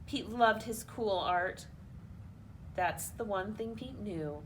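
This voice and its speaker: A woman hosting a children's storytime, reading a picture book aloud in an expressive, playful way. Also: medium pitch, takes it slowly, a little hiss or hum, poor audio. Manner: animated, expressive, playful asides. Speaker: children's storytime host at a library.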